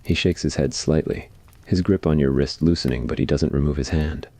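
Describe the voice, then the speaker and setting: A man's voice: extremely low pitch, brisk, vocal fry, a little mellow, no room sound. A man reading scripted story narration in an ASMR roleplay style.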